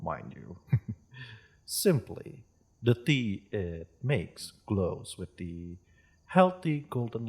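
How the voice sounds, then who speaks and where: A refined, gentle dragon played by a man welcomes a guest to tea in an audio roleplay. The voice is very low and husky, unhurried and polite, with expressive rises and falls. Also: heavily acted. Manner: polite, refined, hesitant, softly chuckling host. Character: courteous, gentle dragon hosting tea in its cave. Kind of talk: roleplay audio drama, addressing the listener.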